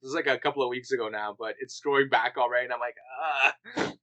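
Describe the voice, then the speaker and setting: A man's voice: medium pitch, quick pace, sharp tone. A young man co-hosting a podcast in casual chat, talking loosely with many fillers and frequent laughs. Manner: chatty, lots of "like" fillers, laughs often. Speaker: podcast co-host.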